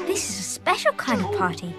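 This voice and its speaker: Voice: high-pitched, wildly swooping pitch, fairly quick. A performer playing a kind, patient, cheerful young boy in a children's cartoon, teaching his friends in an encouraging way. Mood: friendly, encouraging. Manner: patient, encouraging, clearly enunciated. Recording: music under the voice.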